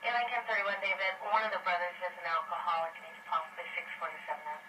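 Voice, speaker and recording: A man's voice: high-pitched, level tone, racing. A man speaking clipped emergency traffic over a police radio, heard through a scanner speaker. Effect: police radio transmission. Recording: noisy distant scanner audio through a speaker.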